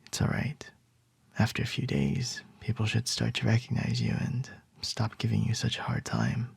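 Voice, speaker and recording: A man playing a calm, charming male CEO boss in an ASMR roleplay, soft and reassuring with a light teasing edge. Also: fairly low pitch, moderate pace, gravelly, breathy edge, no echo, heavily acted. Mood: soothing.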